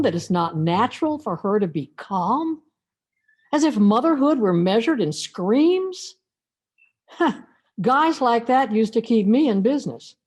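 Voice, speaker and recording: A woman's voice: medium pitch, darkish tone, breathy edge. A woman reading a dramatic first-person meditation as an anguished, defiant biblical woman, in an emotive, theatrical manner. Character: Mary Magdalene, anguished, defiant former prostitute. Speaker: reader of a church meditation.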